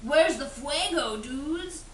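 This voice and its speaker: A tiny, excitable chihuahua pup, jumpy and fretful, as a woman voices him. The voice is high and bright, with an echoey sound from a distance. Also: slow pace, slight background noise, heavily acted. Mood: panicky.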